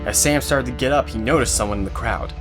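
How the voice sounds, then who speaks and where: A man's voice: medium pitch, quick pace. A man reading scripted story narration for an audio drama. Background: music, guitar.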